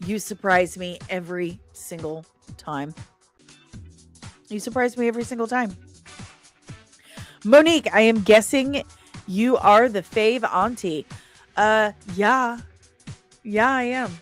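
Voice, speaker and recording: A woman hosting a casual livestream, chatting and reading out comments in a playful, expressive, sing-song way. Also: on the high side. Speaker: live stream host reviewing comics and screen news. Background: faint background music.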